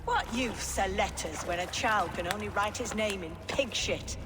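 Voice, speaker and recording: A woman playing a cultured, zealous villainess in a video game, with a condescending, silky, measured manner. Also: mid-range voice, background noise, lightly acted. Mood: smug, zealous. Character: cultured, scheming, zealous female villain of a secret order. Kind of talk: dramatic video game acting. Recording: long reverb, music behind.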